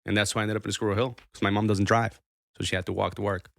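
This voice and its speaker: A man's voice: on the low side, level tone, rapid-fire, vocal fry, dry recording, studio quality. A man in his thirties from Argentina, a podcast guest talking casually in a rambling, self-interrupting way. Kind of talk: casual conversation.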